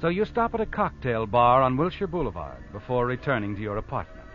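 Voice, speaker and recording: An actor playing a knowing, accusing narrator of a vintage radio mystery, dramatic and insinuating. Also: male voice, mid-range voice, big pitch swings, brisk, over music, lightly acted. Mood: knowing, ominous. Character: knowing, accusing male narrator addressing the culprit. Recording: muffled old radio recording.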